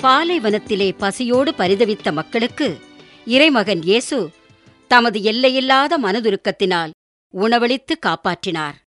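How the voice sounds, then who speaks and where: Solemn, slow female narrator over music. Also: on the high side, lively intonation, fairly bright tone. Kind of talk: slow reflective scripted narration.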